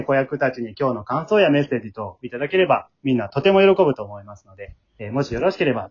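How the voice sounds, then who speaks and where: A man's voice: medium pitch, breathy edge. A man hosting a casual after-show talk, chattily echoing and summing up what others say. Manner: chatty, echoes and sums up others' answers. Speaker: host and leader of an amateur drama troupe. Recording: slightly dull, lo-fi podcast sound.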